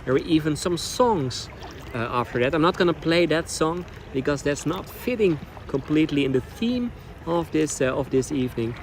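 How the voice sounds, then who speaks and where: A man's voice: fairly high pitch, expressive. A man telling stories as a tour guide, in simple, repeated phrases, outdoors on the water. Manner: simple phrasing with deliberate repetition. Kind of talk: storytelling tour commentary. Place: outdoors on a small boat on the water. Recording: clear outdoor noise, water sounds.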